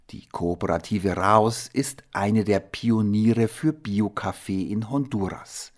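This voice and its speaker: A man with a fairly low voice hosts a radio program from the studio. He reads his script in a calm, even, measured way, introducing topics and guests like a practiced presenter. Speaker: radio host.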